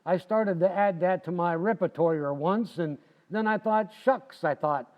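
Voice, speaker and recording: A man's voice: high-pitched, unhurried. A man playing a boastful, folksy, wisecracking husband in the style of old-time radio comedy. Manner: folksy, cocky, joking. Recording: dull lo-fi, reverberant hall.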